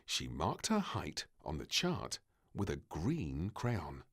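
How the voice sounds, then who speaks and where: Casting: man with a very deep voice for slow, highly expressive children's story narration. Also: breathy edge.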